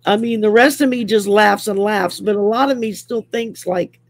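A woman in her early sixties from Louisiana talks over a video call from her home. Her voice is gravelly and she rambles through fillers and restarts. The sound is dry and close. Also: medium pitch, normal speed, no room sound. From Louisiana, USA. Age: 60s. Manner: rambling, many fillers, laughs often. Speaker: guest. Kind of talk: casual chat. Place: home room.

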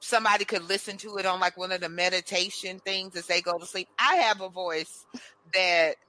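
A woman's voice: lowish voice, fairly even intonation, a little fast, sharp tone, low-quality recording. A woman hosting a casual radio talk show, playful and chatty, reading out listener comments as she goes. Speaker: host.

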